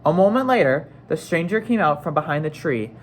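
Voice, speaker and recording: A man's voice: fairly high pitch, lively intonation, fairly quick. A man narrating a children's novel in a read-aloud style, acting out the dialogue.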